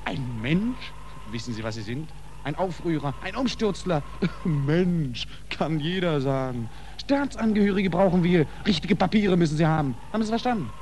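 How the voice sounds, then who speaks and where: A man's voice: a little high, animated, moderate pace, darkish tone. A man playing a self-important, bullying border policeman in a 1940s radio play, with a curt, officious manner. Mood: indignant, scornful. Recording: noisy old radio recording.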